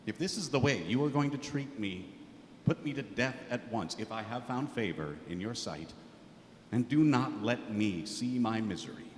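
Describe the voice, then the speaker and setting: A man's voice: mid-range voice, lively intonation, normal speed, lightly acted. A man reading scripture dramatically in church as Moses, a burdened, exasperated leader pleading. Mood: weary, exasperated. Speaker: scripture reader voicing Moses. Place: large church indoors. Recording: echoey church hall, audible noise.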